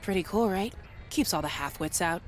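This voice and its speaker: An actress playing a sardonic, tech-savvy young programmer in a video game, blunt and teasing with a dry manner. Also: female voice, fairly low pitch, lively intonation, normal speed, faint music behind, lightly acted. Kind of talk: video game character dialogue.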